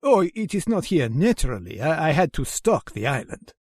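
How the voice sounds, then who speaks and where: A man's voice: fairly high pitch, very animated, moderate pace, darkish tone, no echo, studio quality. A man playing a genteel, menacing aristocratic general and hunter in a radio drama, courtly, formal and theatrical in manner. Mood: sinister relish. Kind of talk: old-time radio drama acting.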